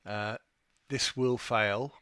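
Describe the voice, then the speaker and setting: A man presenting an informal software tutorial, thinking aloud in a somewhat hesitant way as he demonstrates. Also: on the low side, steady tone, very slow, clean tone, dry recording, studio quality. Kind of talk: informal screen-share walkthrough.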